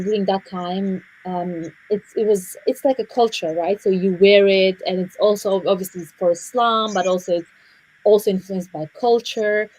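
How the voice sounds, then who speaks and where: Halting woman. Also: mid-range voice, a little mellow, poor audio. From Afghanistan and Central Asia. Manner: halting, repeats words as she goes. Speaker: conference guest speaker. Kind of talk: personal story in a panel interview.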